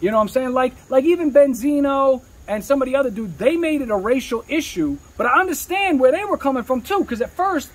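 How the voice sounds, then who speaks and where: A man's voice: very high-pitched, lively intonation, a little fast, few pauses. A man talking candidly in an interview as a rapper, with slangy, streetwise speech peppered with swearing.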